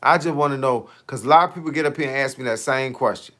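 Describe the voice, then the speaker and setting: A man's voice: medium pitch, fast, a bit bright. Talkative fifty-something man on a livestream. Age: 50s. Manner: talkative, slangy, rhetorical questions. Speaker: fitness coach and livestream host. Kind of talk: casual livestream chat reading comments.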